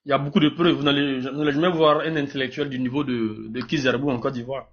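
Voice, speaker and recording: A man's voice: mid-range voice, steady tone, quick pace. A man with a Burkinabé accent arguing off the cuff in a live political debate, emphatic and repetitive, often stumbling over his words. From Burkina Faso. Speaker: debate participant. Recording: dull lo-fi live stream audio.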